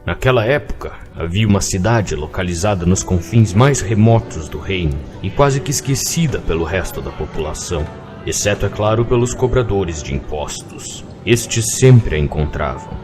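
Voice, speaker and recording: A man's voice: sits low, expressive, moderate pace, over music. A man playing a kindly, lonely old innkeeper, telling tales with theatrical phrasing in an audio drama. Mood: solemn storytelling. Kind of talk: dramatic fairy-tale narration in an audio drama.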